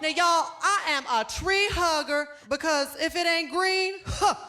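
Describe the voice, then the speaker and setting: A man's voice: very high voice, measured pace, bright, forceful tone, faint music behind. A drag queen comedian with a Texan accent, sassy stand-up on stage with a handheld mic. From Dallas, Texas. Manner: sassy, addresses crowd as y'all. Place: theater stage with live audience.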